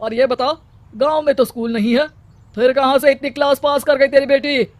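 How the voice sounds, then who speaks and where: A woman's voice: high voice, steady tone, moderate pace, no echo, pitched above the performer's own voice, lightly acted. A woman voicing a domineering, scheming male village headman in a cartoon story, bossy and scolding. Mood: mocking.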